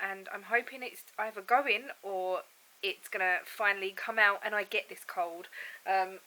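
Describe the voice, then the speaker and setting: A woman's voice: mid-range voice, pushed and bright, rough recording. Bunged-up woman rambling on. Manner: rambling and chatty, laughs often. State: bunged up with a cold, froggy throat. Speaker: vlogger. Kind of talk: casual chat to camera. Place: at home indoors.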